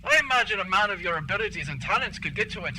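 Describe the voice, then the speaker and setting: A man's voice: high voice, huge pitch swings, racing, gravelly, pushed and bright, slight background noise, heavily acted, poor audio. A man voicing an effusive frontier townsman. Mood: grateful. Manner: formal, effusive. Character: grateful, folksy frontier townsman.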